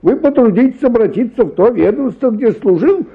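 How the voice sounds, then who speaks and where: A man's voice: very high-pitched, animated, brisk, slightly rough, narrow, tinny audio, heavily acted. An actor playing an exhausted, ailing and irritable bank official in a theatrical radio play, groaning and sighing as he talks. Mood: exasperated.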